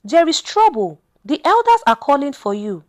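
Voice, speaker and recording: Elderly male messenger in a storybook tale read by a woman, fearful, pressing, high register. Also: big pitch swings, moderate pace. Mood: frightened urgency. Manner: urgent, gasping. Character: breathless, frightened old male village messenger.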